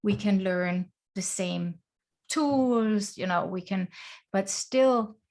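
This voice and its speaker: A woman's voice: medium pitch, takes it slowly, a little mellow. Earnest woman. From Denmark. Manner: earnest, reflective, speaks in short phrases. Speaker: guest, life coach. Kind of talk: conversational interview. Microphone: video call.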